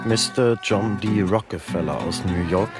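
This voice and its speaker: A man's voice: on the low side, unhurried, lightly acted. A man playing a formal reporter, narrating in a scripted radio play. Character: formal male reporter describing elegant passengers. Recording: loud music behind the voice. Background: loud music.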